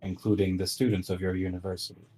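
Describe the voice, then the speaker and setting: A man playing an earnest, cautious adventurer in a tabletop game, speaking in a measured, insistent way. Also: sits low, little pitch movement, dark tone, breathy edge, faint music behind. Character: earnest, cautious male adventurer arguing firmly for safety.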